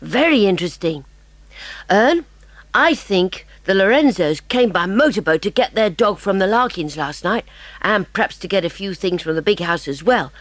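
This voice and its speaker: A woman as a keen, brisk British schoolboy detective. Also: mid-range voice, animated, dry recording, natural voice. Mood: excited, confident. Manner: brisk, inquisitive, emphatic. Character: clever, keen, bossy schoolboy detective, eager and polite. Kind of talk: dramatised audiobook acting.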